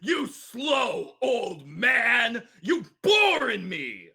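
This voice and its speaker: A man's voice: very high voice, big pitch swings, very slow, vocal fry, sharp tone, breathy edge, exaggerated voice. A man voicing a huge, savage, boastful mutant gang leader in a cartoon table read, bellowing threats in broken English. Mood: taunting fury. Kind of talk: live table read of animated series script.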